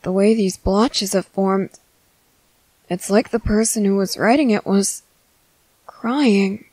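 A woman playing a gentle, curious young merboy in an ASMR roleplay, hesitant and musing to himself. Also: mid-range voice, takes it slowly, no echo. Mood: flustered, concerned. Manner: hesitant, musing to self, repeating words. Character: gentle, curious young merboy, soft-hearted and easily flustered.

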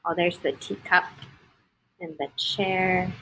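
A woman in her thirties reading a picture book aloud to young children, expressively, pausing to point out pictures with simple comments. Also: on the low side, steady tone, pushed and bright, a little hiss or hum, rough recording. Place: indoor school classroom. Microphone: laptop on a video call.

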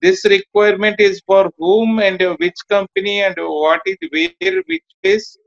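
A man from India, a job candidate, talking in a halting, repetitive way on a video call from a small indoor room. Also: high-pitched, expressive, creaky. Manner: halting, repeats words. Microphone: phone or laptop camera, video call. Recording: muffled video call audio.

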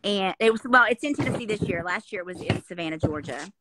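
A woman's voice: mid-range voice, animated, fast, noisy background. A woman in her fifties joining a casual video call from home, earnest and encouraging. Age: 50s. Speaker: guest caller. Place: indoor home room. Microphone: phone front camera, video call.

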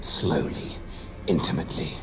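A man's voice: normal speed, natural voice, rough recording. A man playing a sadistic, coldly cruel villain in a film, taunting and menacing. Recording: film dialogue under loud music.

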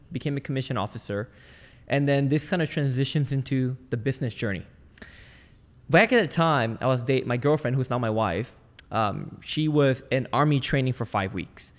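A man's voice: medium pitch, long reverb tail. A man from Malaysia telling his story casually as a podcast guest, in an informal, conversational way. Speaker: podcast guest, sales trainer and entrepreneur. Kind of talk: casual personal storytelling in an interview.

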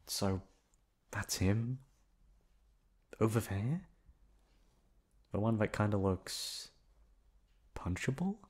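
A young man playing a gruff but affectionate, protective delinquent student in an intimate ASMR roleplay, talking in a casual, foul-mouthed way. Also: sits low, a little mellow. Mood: wary, puzzled.

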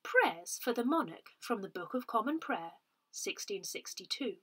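A woman reading a newsletter aloud in a measured, expressive manner, as a volunteer narrator. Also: medium pitch, husky. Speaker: volunteer reader of a parish newsletter.

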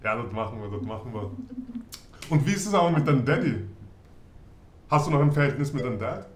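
A man's voice: medium pitch, expressive, fast, roomy sound, a little hiss or hum. A man hosting a casual interview over a video call, laid-back and slangy. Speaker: host. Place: home room, indoors.

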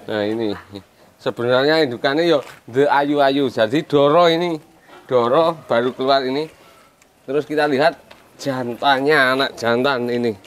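A man's voice: mid-range voice, moderate pace. Young Javanese man touring a goat yard. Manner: relaxed, chatty, mixing in local words, jokey asides. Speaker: goat farm vlogger. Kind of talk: casual on-camera farm tour. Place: outdoor village yard with goats. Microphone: small lapel mic.

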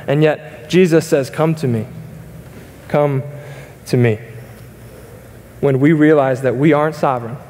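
A man's voice: on the high side, a little slow, pauses often, a little mellow. Young man preaching in an echoing hall. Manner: earnest, conversational, with many fillers and restarts. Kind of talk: sermon. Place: church stage. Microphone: stage mic, wide camera. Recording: long reverb of a church hall.

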